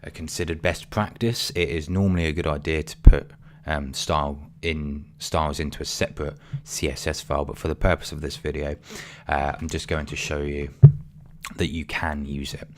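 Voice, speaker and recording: A man, voice getting croaky, talking through a coding tutorial casually with many ums and apologies. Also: extremely low pitch, little pitch movement, normal speed. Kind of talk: screen-recorded coding tutorial.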